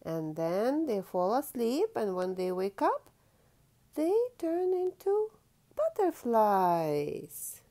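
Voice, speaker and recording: Slow, very animated woman reading to children. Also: fairly high pitch. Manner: expressive, drawn-out key words, teacherly prompting. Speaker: children's storytime host. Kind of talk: read-aloud picture book for young children, with questions.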